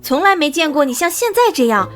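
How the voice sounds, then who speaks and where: A woman playing a frank, teasing police colleague in an animated drama. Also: high-pitched, brisk, soft background music. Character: teasing, perceptive female police colleague of the detective.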